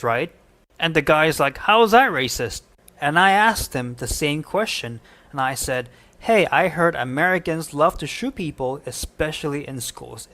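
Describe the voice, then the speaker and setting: A man rants bluntly and with clear indignation in a social media clip. His voice sits a little high for a man, and he pushes hard on the key words. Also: fairly bright tone.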